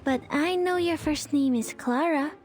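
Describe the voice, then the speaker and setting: A woman's voice: high-pitched, unhurried, soft background music. An actress playing a timid, awkward young female agent who speaks hesitantly in a video game. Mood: flustered, hesitant. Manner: hesitant, stammering. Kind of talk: video game character acting.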